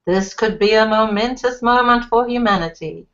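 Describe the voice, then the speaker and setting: A woman's voice: on the high side, normal speed, a bit bright. A woman voicing a formal male TV news anchorman with a stiff, announcer-like delivery, on a slightly dull lo-fi recording. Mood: grave.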